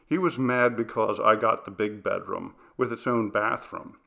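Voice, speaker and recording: Male narrator for first-person ghost fiction. Lowish, creaky, forceful delivery with a theatrical storytelling manner. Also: moderate pace. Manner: dramatic, acts out dialogue with shifts of pitch and volume. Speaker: story reader.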